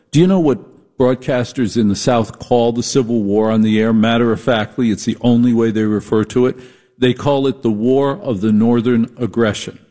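A man's voice: mid-range voice, a little mellow. A middle-aged man hosting a late-night call-in radio show, musing in a wry, conversational way between calls.

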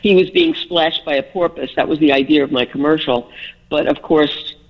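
A woman's voice: sits low, steady tone, moderate pace, highs cut off. An older woman from the Bronx with a Bronx accent, chatting as a radio interview guest and telling stories with self-deprecating jokes. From the Bronx, New York.

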